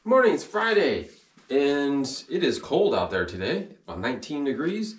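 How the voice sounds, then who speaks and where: A man's voice: mid-range voice, sing-song, normal speed. Folksy man with job-site noise behind. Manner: colloquial, folksy, self-deprecating. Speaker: home improvement vlogger, builder. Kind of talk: casual vlog talk about work plans. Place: job site, outdoors and in unfinished house.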